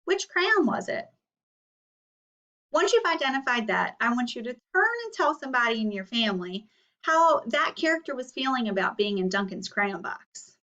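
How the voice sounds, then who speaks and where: High-voiced woman teacher, slightly muffled. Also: big pitch swings, normal speed, pushed and bright. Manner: explanatory, questioning. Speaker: elementary school teacher. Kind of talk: read-aloud lesson for children. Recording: slightly muffled, distant microphone.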